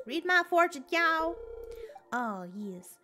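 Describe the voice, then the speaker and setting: A woman's voice: very high voice, big pitch swings, slow, bright, forceful tone, faint music behind, pitched above the performer's own voice, full character voice. A woman putting on the voice of an old female fortune teller in a video game, with a loose Russian accent and a theatrical, mystical manner.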